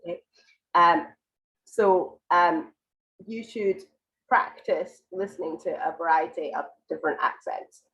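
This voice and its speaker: Croaky low-voiced woman with a cold. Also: measured pace, a bit bright, rough recording. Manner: explains patiently with many ums and restarts. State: has a cold, croaky and congested. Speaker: IELTS writing teacher. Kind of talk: live online lesson, answering viewer questions.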